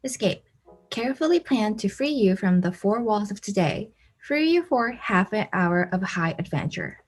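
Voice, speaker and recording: A woman's voice: medium pitch, very animated, normal speed. Formal woman as a radio network announcer. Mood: formal, promotional. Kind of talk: old-time radio announcing.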